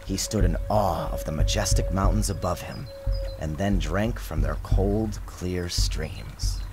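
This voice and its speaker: A man's voice: deep voice, unhurried. A man reading a children's storybook as a dramatic, theatrical narrator. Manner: theatrical, expressive storyteller delivery. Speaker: author narrating his storybook. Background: music and sound design.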